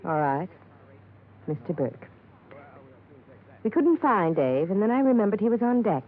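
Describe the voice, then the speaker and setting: An actress playing a cool, evasive, wealthy widow in a 1950s radio drama, with an aloof, curt and impatient way of talking. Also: female voice, mid-range voice, big pitch swings, unhurried, narrow, tinny audio. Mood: guarded. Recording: thin, band-limited old radio recording.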